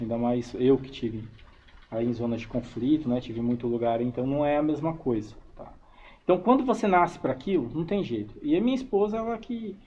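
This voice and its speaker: A man's voice: fairly high pitch, warm tone. A man from São Paulo state with a Brazilian accent, chatting casually as an interview guest and often adding little checking tags. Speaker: interview guest, army reservist and combatant. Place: radio studio. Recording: dull, noisy studio live stream.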